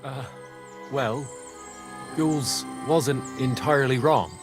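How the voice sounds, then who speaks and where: An actor playing a cornered, cheeky medieval thief in a video game, with rough, colloquial, hedging speech. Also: male voice, medium pitch, slow, music in the background, natural voice. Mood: bargaining. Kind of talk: video game drama acting.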